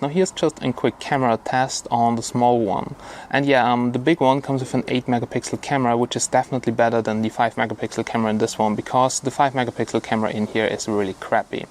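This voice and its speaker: A man's voice: medium pitch, fast, a little hiss or hum. Casual, blunt male gadget reviewer. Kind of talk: casual unscripted product review commentary.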